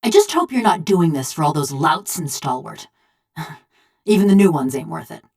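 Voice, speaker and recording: A woman's voice: low voice, big pitch swings, moderate pace, vocal fry, darkish tone, full character voice. A woman voicing a wry, vengeful female machine of brass in a video game, with a folksy, drawling American accent. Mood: sardonic. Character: sardonic, vengeful female construct of brass, a killer. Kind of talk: video game character voice lines.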